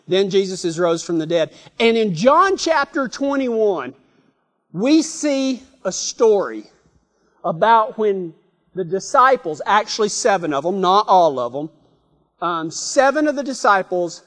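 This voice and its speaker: Texan guy preaching, high-ish voice, lots of restarts and little laughs, big hall echo. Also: fairly bright tone. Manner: rambling, self-correcting, chuckling storyteller. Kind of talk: folksy storytelling sermon.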